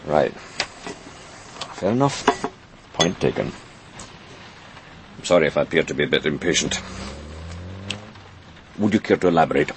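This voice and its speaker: An actor playing an impatient senior police detective in a radio crime drama, with a brisk, authoritative, dry manner. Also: male voice, extremely low pitch, expressive, noisy background. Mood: curt, impatient. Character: impatient senior police detective, male, running a murder investigation.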